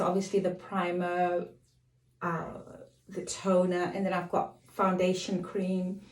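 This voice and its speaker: A middle-aged woman with a slightly croaky voice, casually chatting to camera as a lifestyle vlogger, rambling off on tangents. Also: lowish voice. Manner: rambling, digresses and apologizes. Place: home. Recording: echoey room.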